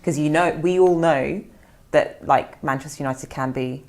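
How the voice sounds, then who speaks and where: A young woman from Norway on a fan show panel, chatting casually and asking animated questions about the game. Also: sits low, moderate pace. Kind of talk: casual football chat.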